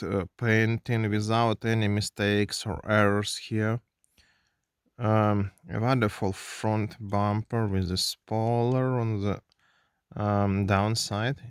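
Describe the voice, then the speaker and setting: Man, Russian accent, second-language English, slow and halting with fillers; toy reviewer in a dry, close desk recording. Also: fairly low pitch, clean tone, no room sound. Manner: halting non-native English with many fillers. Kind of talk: hands-on unboxing review. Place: indoors at a desk.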